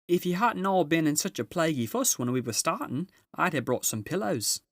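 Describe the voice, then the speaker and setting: A man's voice: on the high side, sing-song, normal speed, fairly bright tone, no room sound, clean studio sound, voice pushed up, exaggerated voice. A man voicing a fussy, scolding, motherly female beaver in a children's storybook. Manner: scolding, flustered.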